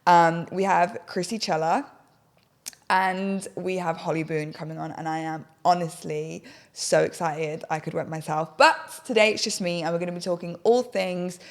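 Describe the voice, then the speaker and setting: A young woman, a little husky today, chatting casually while doing her makeup in a home studio. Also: on the low side, fairly even intonation, moderate pace. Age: young adult. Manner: chatty, rambling, self-deprecating. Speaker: podcast host. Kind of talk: casual get-ready-with-me chat. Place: bright home studio, indoors. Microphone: desk podcast mic on an arm.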